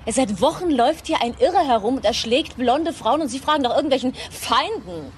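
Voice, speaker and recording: An actress playing an upset, indignant family friend in a German radio crime drama. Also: female voice, high voice, expressive, fairly quick, crowd noise.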